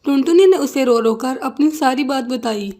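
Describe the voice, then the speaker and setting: High-pitched woman narrating a cartoon story. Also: moderate pace, mellow.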